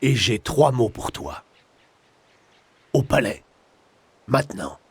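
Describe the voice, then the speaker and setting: An actor playing a stern old martial arts master in a game, with a husky, gravelly voice and a measured, authoritative manner. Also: male voice, medium pitch, sing-song, no room sound, heavily acted. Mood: stern, composed.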